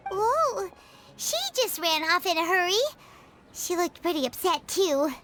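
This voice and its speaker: A woman voicing a tiny, excitable female fairy companion in an anime game, with a chirpy, childlike, exclaiming manner. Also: very high voice, unhurried, soft background music, full character voice. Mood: excited. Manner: chirpy, exclaiming, childlike, speaks of self in third person. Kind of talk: anime game character acting.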